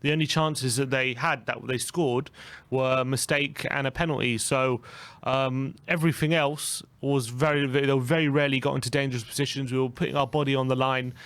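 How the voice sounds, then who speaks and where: A man's voice: medium pitch, steady tone, brisk, fairly bright tone, dry recording. A man, co-hosting a casual football chat, talking in a rambling way with many restarts. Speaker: co-host.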